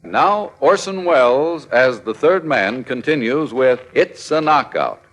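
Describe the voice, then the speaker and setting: A man's voice: mid-range voice, animated, takes it slowly, rough recording. A man announcing a vintage radio drama in an old-time broadcast style. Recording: old radio broadcast under loud music. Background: loud theme music.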